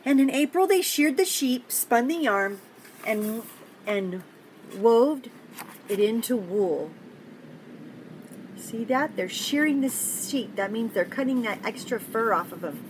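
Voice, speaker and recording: An older woman with a fairly high voice reads a children's story aloud. She is gentle and encouraging, slipping in chatty asides and questions for little listeners. Noticeable background noise runs behind her in the room. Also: lively intonation, takes it slowly, low-quality recording. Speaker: children's story reader and host. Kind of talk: picture-book read-aloud with chatty asides to young children. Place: indoor home office.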